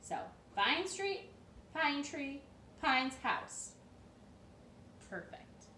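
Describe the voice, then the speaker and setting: A woman hosting a children's storytime from her living room, telling the story in a playful, expressive and emphatic way. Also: high-pitched, very slow. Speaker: storytime host. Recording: roomy echo, distant mic, light noise.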